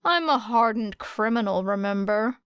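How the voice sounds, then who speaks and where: A cool, wry teenage girl from an audiobook story, read by a woman. She is deadpan and unflappable, with a clear voice in a dry recording. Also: medium pitch, normal speed, dry recording, lightly acted. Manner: deadpan, matter-of-fact.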